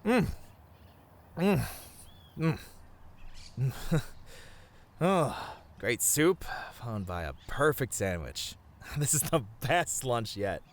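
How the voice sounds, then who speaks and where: A man playing a sweet, bashful young boyfriend in a romantic roleplay, talking to the listener in a tender, halting, earnest way. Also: medium pitch, very animated, exaggerated voice. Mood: fond, lighthearted. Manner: soft-hearted, halting, sighing, chuckling, gently earnest.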